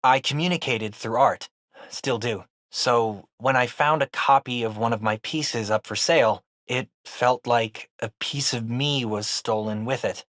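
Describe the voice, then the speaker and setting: A man's voice: mid-range voice, unhurried, fairly bright tone, dry recording, natural voice. A young man playing a geeky, upbeat teenage boy in a video game, a loyal best friend with a casual, wry, chatty way of talking. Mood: earnest. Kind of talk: video game companion dialogue.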